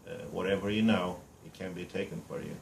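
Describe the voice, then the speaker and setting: A deep-voiced Romanian man in his fifties speaks in blunt, halting English, filling pauses with fillers as he boasts about himself. The room around him is echoey, with faint ambient noise underneath. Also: gravelly, darkish tone. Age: 50s. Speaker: reality show applicant. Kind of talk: casual self-introduction to camera.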